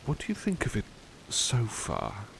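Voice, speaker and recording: A man playing a devoted, polite butler in an ASMR roleplay, speaking softly and hesitantly with courteous formality, often slipping toward a whisper. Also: on the low side, huge pitch swings, unhurried, gravelly, background noise, heavily acted. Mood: courteous, warm. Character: devoted, affectionate, polite male butler comforting his companion. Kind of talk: ASMR roleplay, intimate personal attention.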